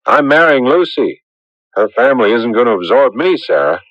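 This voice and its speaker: Earnest, stammering young farmer, lively intonation. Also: male voice, mid-range voice, normal speed, dry recording. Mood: eager. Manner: hesitant, stammering, folksy. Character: earnest, naive, stubborn young farmer in love. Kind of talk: old-time radio drama acting. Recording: dull, lo-fi radio drama recording.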